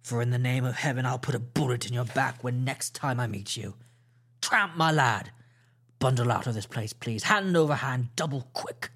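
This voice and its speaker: A stern, disciplined ship's captain, voiced by a male reader gives orders in a clipped, measured way. He speaks a touch lower and slower than the narration, unbending and formal in a storybook reading. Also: fairly bright tone, slightly rough. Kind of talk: audiobook character voice.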